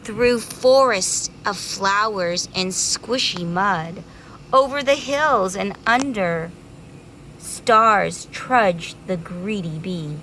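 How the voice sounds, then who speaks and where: A woman's voice: mid-range voice, drawn-out words, sharp tone, slight background noise, rough recording. A woman reading a children's story aloud expressively, drawing out words dramatically for young listeners.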